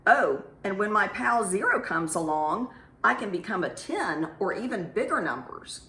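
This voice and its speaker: A woman acts out a vain number one from a picture book. It boasts like the self-appointed star, in a bright, animated delivery. The room is audibly echoey. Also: medium pitch, moderate pace, slight background noise. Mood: smug.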